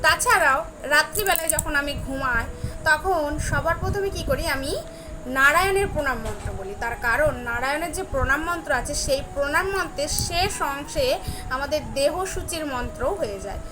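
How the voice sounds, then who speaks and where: A woman's voice: high voice, lively intonation, normal speed. A young woman speaks earnestly to viewers in a personal devotional talk, repeating phrases for emphasis. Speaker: devotional vlogger. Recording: clearly noisy, ambient background sound.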